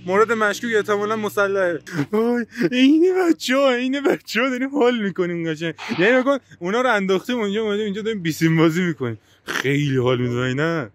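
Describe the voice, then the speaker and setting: A young man co-hosting a casual vlog outdoors, excitable and playful. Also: high-pitched, hardly any pauses, a little hiss or hum. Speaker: co-host.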